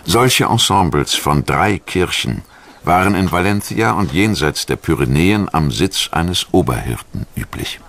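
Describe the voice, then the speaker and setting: A man reading scripted documentary narration in a measured, formal manner. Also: low-pitched, lively intonation, a bit bright.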